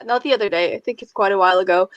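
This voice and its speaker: A woman's voice: mid-range voice, racing, dry recording, dull audio. A woman with a Dutch accent, chatting casually and effusively as a co-host of a book channel. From Netherlands. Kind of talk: casual group chat.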